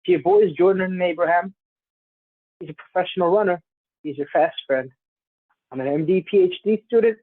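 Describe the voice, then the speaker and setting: A man's voice: a little high, no room sound. A young man co-hosting a casual podcast, laughing often and teasing his friend. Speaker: podcast co-host. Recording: phone-like narrow sound.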